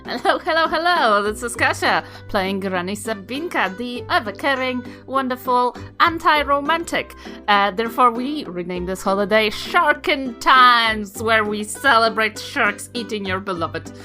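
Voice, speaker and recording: Bright, higher-voiced playful woman. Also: lively intonation, measured pace. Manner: playful, tongue-in-cheek. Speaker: player on a role-play podcast. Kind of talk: casual playful introduction. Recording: music behind the voice.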